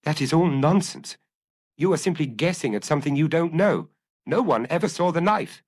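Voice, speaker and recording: A male narrator plays a cornered suspect in a detective audiobook. His pitch is lifted and swings about, nervous and defensive, as he struggles to sound unconcerned. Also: expressive, moderate pace, darkish tone. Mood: defiant bluffing. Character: fearful, cornered male murder suspect feigning indifference. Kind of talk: audiobook character acting.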